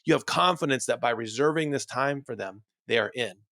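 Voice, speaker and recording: A male coach delivers an instructional motivational talk at a medium pitch. He stresses his key points and puts questions to the listener. The sound is dry, with very little room in it. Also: a little fast, bright, forceful tone, no room sound. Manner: instructional, emphatic, rhetorical questions. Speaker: dental practice coach and host.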